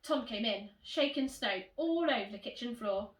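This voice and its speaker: In an echoey room, a woman reads a storybook to young children. Her fairly high voice moves with lots of expression and variety, like a primary teacher addressing her pupils. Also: expressive, moderate pace. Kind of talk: animated children's storybook reading. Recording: roomy echo, distant microphone.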